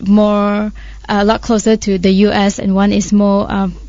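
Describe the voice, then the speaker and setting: A woman's voice: medium pitch, monotone, a little slow, a little mellow, no room sound. A woman co-hosting a radio current affairs discussion, explaining her points with many hesitations and fillers. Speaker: radio show co-host. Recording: dry, slightly muffled studio audio.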